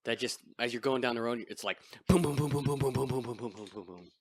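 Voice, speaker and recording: A man with a deep voice hosting a casual podcast chat, crude and self-deprecating. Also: fast, slight background noise. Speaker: podcast host. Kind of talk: casual chat over beers.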